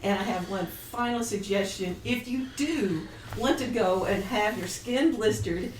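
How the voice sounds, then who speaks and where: A woman's voice: mid-range voice, a little slow. Blunt woman panelist, distant and echoey. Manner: blunt, contrarian, emphatic rhetorical questioning. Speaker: writer and panelist. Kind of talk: opinionated panel discussion. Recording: echoey, distant mic, faint noise.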